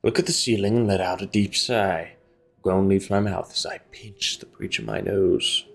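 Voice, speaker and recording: A man's voice: deep voice, steady tone, normal speed, gravelly. A man hosting a gaming video, reading visual novel narration aloud and adding casual commentary.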